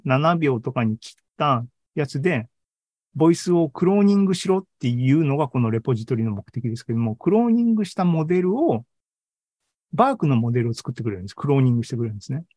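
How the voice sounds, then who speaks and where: A man hosts a casual online presentation in a mid-pitched voice. He speaks haltingly, thinking aloud between points. The sound is dry, with little room in it. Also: a little mellow, husky, no echo. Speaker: podcast host and tech presenter. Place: online video meeting talk, dry close sound.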